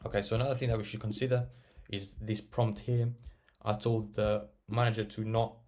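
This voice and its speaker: A man narrating a casual software tutorial, explaining and thinking aloud as he works. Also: fairly low pitch, little pitch movement, moderate pace. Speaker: tech tutorial presenter.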